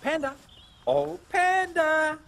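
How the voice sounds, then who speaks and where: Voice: sits high, slow, creaky, heavily acted. A performer voicing an eager, naive young lion in a preschool cartoon, exclaiming and stammering with playful friendliness over music. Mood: excited, calling out. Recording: loud music behind the voice.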